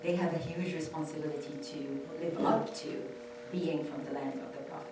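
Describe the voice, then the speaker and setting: A woman with a low voice tells her own story in earnest, repeating key phrases to stress them. She is heard from far off in a strongly echoing hall, with room noise clearly audible behind her. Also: fairly even intonation, normal speed. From Saudi Arabia. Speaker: panelist. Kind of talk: personal testimony at a panel. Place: temple hall.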